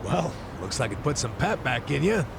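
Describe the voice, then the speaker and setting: An actor playing a down-to-earth, skeptical crew companion in a video game, speaking in a casual, doubtful way. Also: male voice, medium pitch, sing-song, moderate pace, gravelly, background noise. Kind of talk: video game cutscene dub acting.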